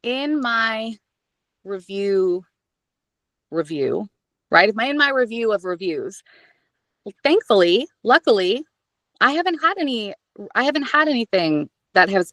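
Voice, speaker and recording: A woman in the middle of her range, chatting as an interview guest in a lively, self-deprecating way. She talks about her work with plenty of feeling. The sound is close and dry. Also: medium pitch, unhurried, no room sound. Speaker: audiobook narrator and guest. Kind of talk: casual interview chat.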